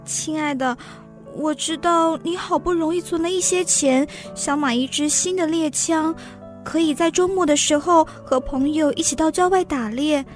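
An actress playing a demure, self-pitying young wife who longs for finery in a radio drama, speaking in a plaintive, delicate way. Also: female voice, sits high, moderate pace, close to an everyday voice. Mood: coaxing. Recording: music behind the voice.

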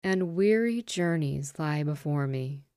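A woman reading aloud, voicing a tormented male ghost in chains who speaks slowly and often sinks to a breathy whisper. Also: low voice, expressive, dark tone, dry recording, clean studio sound, heavily acted. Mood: mournful. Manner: slow, dragging, breathy whispering delivery.

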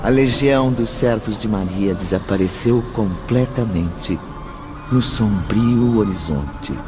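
A man narrating a radio drama in a measured, dramatic, literary way. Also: on the low side. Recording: slightly muffled, music underneath.

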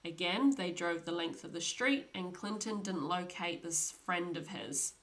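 Young woman, podcast host, storytelling, casual, lowish pitch. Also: measured pace, a bit bright. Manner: chatty, laughs often, casual fillers. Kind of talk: true crime storytelling.

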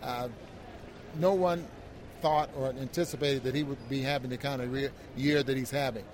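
An American man giving conversational post-game basketball commentary as an analyst, halting and reflective, often repeating words. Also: mid-range voice, fairly even intonation.

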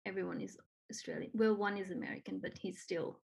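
A woman's voice: medium pitch, steady tone, a little fast, creaky, a little mellow, husky. A woman from Nepal who speaks English with a Nepali accent, talking earnestly and encouragingly with frequent ums and repeated phrases. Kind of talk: casual conversation.

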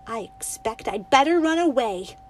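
A restless young girl, high and bright, pours out her wishes and dares to run away, put on by a woman reading aloud. Her voice sits well above the reader's usual tone. It swings from earnest pleading to stubborn defiance. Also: animated, normal speed, a little hiss or hum, close to an everyday voice.

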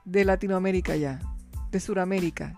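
A woman interviewing a guest, asking questions softly and hesitantly, often pausing to rephrase herself. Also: on the low side, faint music behind. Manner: hesitant, many fillers and restarts, soft-spoken.

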